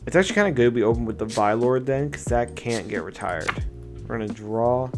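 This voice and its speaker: A young man with a slightly raspy voice, chatting casually through a card game deck test and explaining his plays. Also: medium pitch, animated, fairly quick. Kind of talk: casual gameplay commentary. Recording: music playing underneath.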